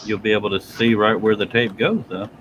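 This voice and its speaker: A man with a lowish voice co-hosts a casual live stream, reading out comments and slipping in dry, teasing one-liners. A clear background noise runs under his voice. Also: steady tone, fairly quick, a bit bright, dull audio. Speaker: co-host reading viewer comments.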